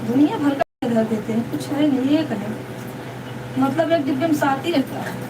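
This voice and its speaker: A woman with a high-pitched voice hosts a casual live chat. She talks to viewers as sisters and often repeats herself. She sounds some way from the microphone, with clear background noise around her. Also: moderate pace. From Deoria district, India. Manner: repetitive, chatty, addresses viewers as sister. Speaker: live stream host. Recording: distant mic, noisy background.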